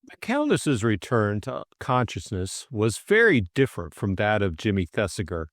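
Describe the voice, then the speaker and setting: A man's voice: on the low side, wildly swooping pitch, normal speed, no echo, clean studio sound. A man reading a story aloud as an audiobook narrator.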